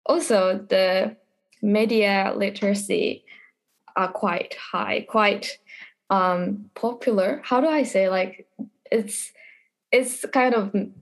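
A woman's voice: mid-range voice, takes it slowly, gravelly. A young adult woman from Japan, an international university student, answering interview questions in a reflective, searching way.

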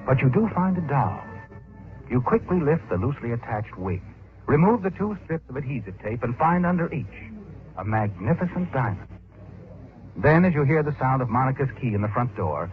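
An actor playing a knowing, insinuating narrator of an old radio mystery, telling the story in the second person. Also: male voice, medium pitch, sing-song, moderate pace, faint music behind, natural voice. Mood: knowing, suspenseful. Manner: dramatic, insinuating. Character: knowing, insinuating male narrator goading the guilty protagonist. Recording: tinny old radio recording.

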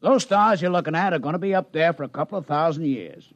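An actor playing a brash, folksy, shrewd casino boss with slangy, breezy hustler talk in a vintage radio drama. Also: male voice, fairly high pitch, fast, no echo, close to an everyday voice. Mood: brash, bargaining.

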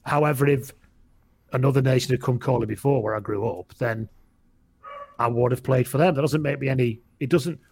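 A man's voice: medium pitch, fairly quick, darkish tone, slightly rough. A man in his forties with a Lancashire accent, hosting a casual rugby podcast chat, rambling and correcting himself with wry asides. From England, raised in Lancashire and Wales. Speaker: podcast host.

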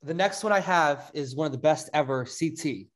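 A man's voice: fairly high pitch, normal speed. A man interviewing a guest in casual chat, mostly giving brief affirming replies.

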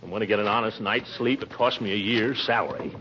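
An American actor playing a flustered, pompous but good-hearted middle-aged uncle in a 1940s radio comedy. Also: male voice, lowish voice, fast, natural voice. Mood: troubled, earnest. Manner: theatrical, flustered, hemming. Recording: muffled, noisy old radio recording.

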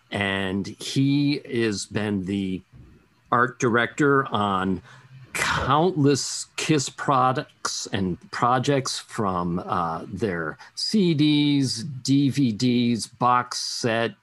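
A middle-aged man hosts a rock podcast and speaks unhurriedly, with a mid-pitched voice. The recording is dry and close, with no room sound. He introduces his guest in a deliberate, measured way. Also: fairly bright tone, no room sound. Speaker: podcast host.

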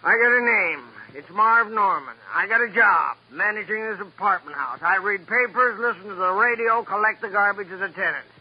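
An actor playing a put-upon, self-pitying apartment house manager in a radio crime drama, slangy and grudging. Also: male voice, high-pitched, normal speed, hardly any pauses. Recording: muffled, noisy old radio recording.

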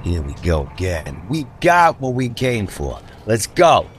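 An actor playing a weary, menacing mob boss with a sentimental streak in a radio drama, deliberate in delivery. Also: male voice, on the low side, sing-song, slight background noise. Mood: impatient.

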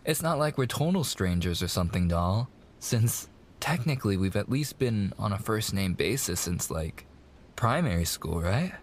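Man acting a low-voiced young mobster fiancé, sweet and coaxing. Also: very animated, normal speed, slight background noise. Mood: earnest. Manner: coaxing, hesitant, slangy gangster talk. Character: young mafia boss's son, eager, smooth-talking, sweet fiancé. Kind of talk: boyfriend ASMR roleplay, intimate monologue.

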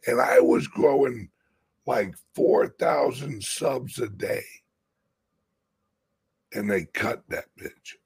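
Raspy man with a gravelly, lively delivery, slow and rambling, casual stream co-host. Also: medium pitch. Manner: slow, rambling, drawn-out talk. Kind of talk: casual livestream chat.

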